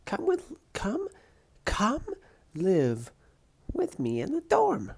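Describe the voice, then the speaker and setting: A woman's voice: medium pitch, huge pitch swings, extremely slow, creaky, darkish tone, breathy edge, heavily acted. A woman voicing an upbeat young superhero college student in a comic dub, perky, chatty and emphatic. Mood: earnest, insistent. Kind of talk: comic book dub voice acting.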